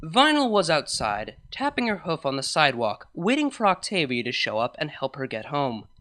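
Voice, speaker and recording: A woman reading a scripted fan fiction story as narrator, in an expressive storytelling manner. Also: low voice, moderate pace, soft background music.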